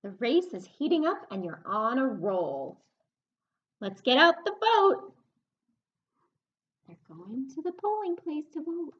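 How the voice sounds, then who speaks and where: A woman with a fairly high voice leads a story time for small children. Her pitch swings widely in a sing-song way as she reads and puts questions to her young listeners. The recording is a little dull and lo-fi. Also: sing-song, takes it slowly, pauses often. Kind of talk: children's story time with read-aloud and rhyme game.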